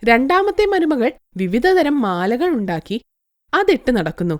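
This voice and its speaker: A woman's voice: sits high, very animated, a little slow, darkish tone. A woman narrating a scripted moral story as a storyteller.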